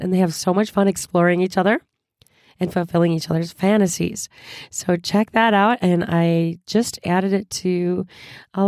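A hoarse woman hosting a casual solo podcast, chatty and upbeat with frequent laughs, recovering from a cold. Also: lowish voice, dark tone, no room sound, clean studio sound. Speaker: podcast host.

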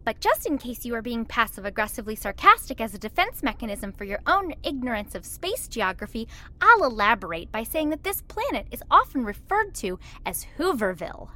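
Chirpy chatbot, high and bright, pedantic, sing-song, audio drama comedy. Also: female voice, very animated, fairly quick. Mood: perky, pedantic. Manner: cheerful, sing-song, over-helpful. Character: chirpy, pedantic female ship computer chatbot.

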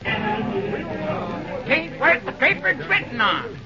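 Grumbling country shareholder, very high voice, slow drawl, muffled old radio recording. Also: male voice, very animated, over music, full character voice. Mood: scornful. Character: feisty, grumbling rustic small shareholder, folksy and scornful. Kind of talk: old-time radio drama acting. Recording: muffled old radio, loud crowd behind.

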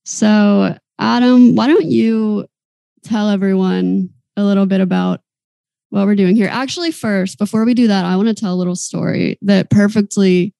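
A woman's voice: mid-range voice, normal speed, vocal fry, dry recording. A woman in her twenties co-hosting a podcast, chatting casually and telling stories with giggles. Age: 20s. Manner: chatty, giggly, animated storytelling. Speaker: podcast co-host. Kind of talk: casual chat.

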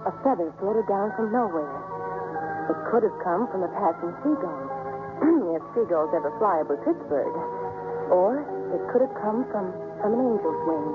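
A woman's voice: mid-range voice, natural voice. An American actress playing a wry woman who narrates the story in a 1950s radio drama. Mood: wondering narration. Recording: tinny old radio broadcast with music.

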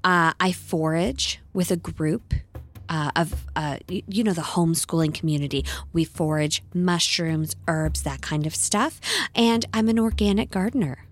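A woman's voice: on the low side, unhurried. A woman playing an earnest, rambling hippie homeschooling mother and larper, dreamy and self-satisfied, in a comedy audio drama interview. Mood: smug, sincere. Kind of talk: mock reality-show audition interview in comedy audio drama.

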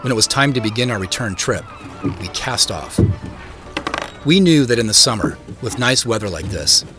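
A man's voice: fairly low pitch, animated, normal speed, music in the background, natural voice. An actor playing a solitary, private man who narrates his own story in an understated, conversational, matter-of-fact way. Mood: nostalgic, measured storytelling. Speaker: narrator of an audio drama. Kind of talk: first-person scripted narration.

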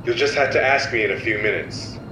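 Brief: male actor as a cool, condescending operative in an audio drama, tense bright tone, roomy sound. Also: mid-range voice, a little fast, a little hiss or hum, lightly acted. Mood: dismissive. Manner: calm, dismissive, condescending. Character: cold secretive male code-editing power agent.

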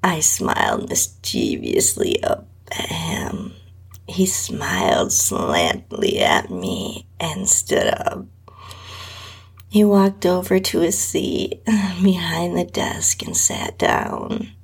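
A woman narrating intimate erotic fiction in a sultry, lingering, breathy voice that often drops to a whisper. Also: on the low side, big pitch swings, slow pace. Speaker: podcast host reading own story. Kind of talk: slow, intimate erotica narration in the first person.

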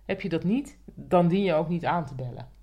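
A woman's voice: on the low side, a little fast, lo-fi sound. A woman co-hosting a podcast, chatting casually in an inquisitive, conversational way. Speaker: podcast co-host. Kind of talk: casual chat.

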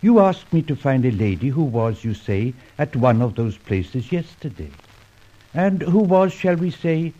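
A man acting a courteous, patient older Chinese gentleman in an old radio drama, with formal, deliberate and ornate phrasing. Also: medium pitch, very animated. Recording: muffled old radio recording.

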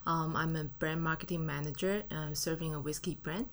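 Low-voiced woman. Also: steady tone, a little fast, fairly bright tone, slight background noise. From Taiwan. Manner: friendly, casual, uses fillers and non-native grammar. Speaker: guest. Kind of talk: studio interview answers. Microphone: studio mic.

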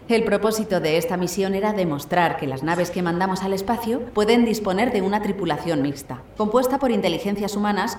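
A woman's voice: mid-range voice, a little mellow, natural voice. Poised mission captain played by a woman, mid-range voice, composed public speaking in an echoey audio drama. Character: poised blind female astronaut, mission captain. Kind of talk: scripted audio drama press conference. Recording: echoey room with faint noise.

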